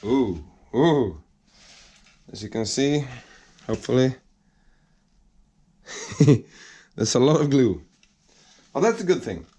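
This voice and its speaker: A Swedish man, a hobby woodworker speaking English as a second language, chatting casually in his workshop and pausing to search for words. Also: medium pitch, very animated, mellow, breathy edge. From Sweden.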